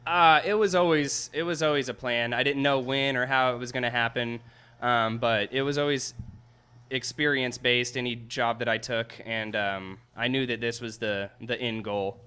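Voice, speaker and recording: Easygoing chef with a bright, smooth voice. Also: male voice, medium pitch, steady tone, normal speed. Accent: American Midwest. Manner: easygoing, laughs often, hesitant fillers. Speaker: chef and restaurant owner. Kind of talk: casual interview answers.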